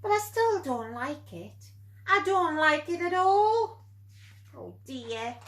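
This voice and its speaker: Woman voicing a slow, high-pitched timid little owlet. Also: big pitch swings, full character voice. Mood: stubborn, plaintive. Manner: childlike, plaintive, emphatic. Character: timid young boy owlet afraid of the dark.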